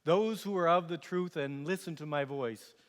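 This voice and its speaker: A man voicing a calm, quiet holy prisoner with soft, measured, grave speech within a dramatic monologue. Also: mid-range voice, lightly acted. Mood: calm, solemn. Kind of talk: quoted part in dramatic monologue. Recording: echoey hall with long reverb.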